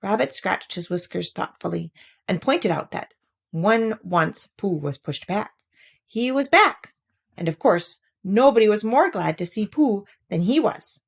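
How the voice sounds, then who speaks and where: A woman reading a children's story aloud in an expressive narrating style. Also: mid-range voice, unhurried, gravelly, slightly rough. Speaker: story reader.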